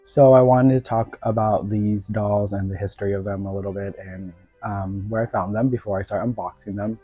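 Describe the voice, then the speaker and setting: A man's voice: on the low side, steady tone, normal speed, warm tone, husky, dry recording, soft background music. A man from the United States with a cold, chatting casually as a doll reviewer in a rambling way, full of filler words. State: sick with a cold. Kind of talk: casual vlog chat.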